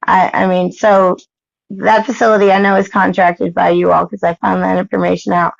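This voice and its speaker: Adult American woman, fry in the voice, halting but persistent in dispute, muffled lo-fi call recording. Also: lowish voice, level tone, dry recording. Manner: insistent, halting, repeating herself. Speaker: parent questioning a caseworker. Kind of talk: argumentative phone conversation. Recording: dull lo-fi recorded phone call.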